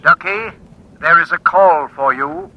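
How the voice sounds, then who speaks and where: An actor voicing an impassive talking computer in an old radio drama, speaking in stilted, precise announcements. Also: male voice, a little high, expressive, a little slow. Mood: impassive, formal. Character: impassive talking computer that answers any question. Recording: muffled vintage radio recording.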